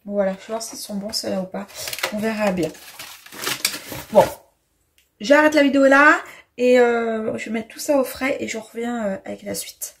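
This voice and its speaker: Chatty young woman in her kitchen. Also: fairly high pitch. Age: 20s. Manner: unhurried, chatty, many fillers and hesitations. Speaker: vlogger. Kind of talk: casual grocery haul chat. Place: bright home kitchen, indoors.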